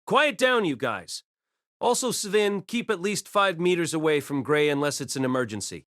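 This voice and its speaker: A woman's voice: sits low, animated, moderate pace, no echo, clean studio sound, voice pushed down. A woman voicing an exasperated, stern male professor with a curt, commanding manner in a story.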